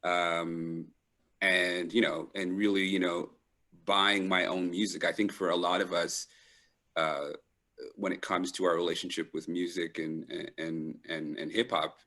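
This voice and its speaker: A middle-aged man with a very low voice talks in a reflective, conversational way. He is thoughtful and halting, often stopping to search for the right word, as a guest in an interview. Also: pushed and bright. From Trinidad and Toronto, Canada. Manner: thoughtful, halting, pausing to find words. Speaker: panel guest. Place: live online video call.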